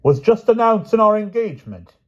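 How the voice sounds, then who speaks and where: Male reader voicing a booming princess, imperious, loud, dull recording. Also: very animated, brisk. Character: booming, domineering middle-aged princess.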